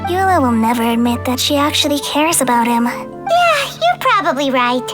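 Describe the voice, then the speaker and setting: A woman voicing a tiny, childlike, chatty fairy companion with a squeaky voice in a video game. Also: big pitch swings, normal speed, over music. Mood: teasing. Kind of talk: animated video game acting.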